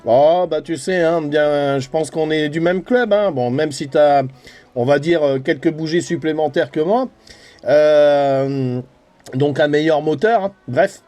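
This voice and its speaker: A man's voice: a little high, unhurried, a little mellow, faint music behind. A middle-aged man from France chatting casually and colloquially as a hobby CB radio operator. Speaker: amateur CB radio operator and vlogger. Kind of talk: casual chat over CB radio.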